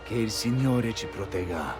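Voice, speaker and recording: An actor playing a dignified, measured Italian Renaissance nobleman in a video game, with an Italian accent. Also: fairly low pitch, expressive, music in the background, lightly acted. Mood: grave, cordial. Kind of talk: dramatic video game acting.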